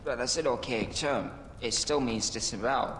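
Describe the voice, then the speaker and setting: An actor playing a jittery, nerdy stoner posing as a mystic in a video game, excitable and mock-mystical. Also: male voice, medium pitch, moderate pace, reverberant, long reverb tail, background noise, lightly acted. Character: jittery stoner man, fake mystic sidekick.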